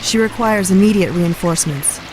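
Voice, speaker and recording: A woman's voice: mid-range voice, normal speed, close to an everyday voice. A woman playing a young, dutiful night elf messenger, formal, earnest and deferential, in a video game cutscene. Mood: urgent. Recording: running water noise behind.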